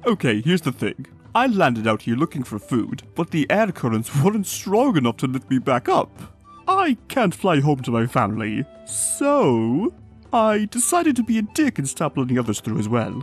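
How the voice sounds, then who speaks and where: A man's voice: fairly high pitch, huge pitch swings, normal speed, slightly rough, faint music behind, full character voice. A man voicing a huge, haughty but insecure bird in a comic dub, with formal, pompous speech. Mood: sheepish. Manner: pompous, formal, turning hesitant. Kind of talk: comic dub cartoon acting.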